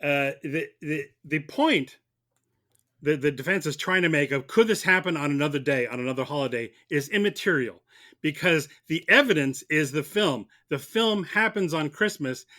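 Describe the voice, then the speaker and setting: High, bright-voiced man arguing a case. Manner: halting, repeats words while arguing his case. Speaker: guest debater playing prosecutor. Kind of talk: mock courtroom film debate.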